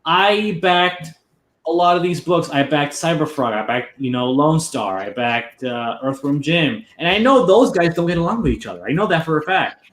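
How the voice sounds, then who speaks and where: A man's voice: a little high, lively intonation, normal speed, a bit bright. A male host chatting loosely on a livestream, rambling and restarting his sentences. The voice rings in a roomy, echoey space, with a faint hiss of noise under it. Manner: rambling and informal, full of restarts and fillers. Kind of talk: casual chat on a livestream.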